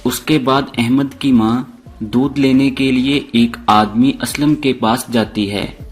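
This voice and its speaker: A man's voice: medium pitch, steady tone, slow pace, soft background music. A man narrating a scripted moral story as storyteller.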